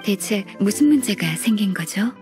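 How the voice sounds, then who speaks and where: An actress playing a composed, shrewd senior secretary in a video game, speaking politely and in a measured, matter-of-fact way. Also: female voice, medium pitch, expressive, loud music behind the voice, natural voice. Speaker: game character voice actor. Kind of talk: video game story voice acting.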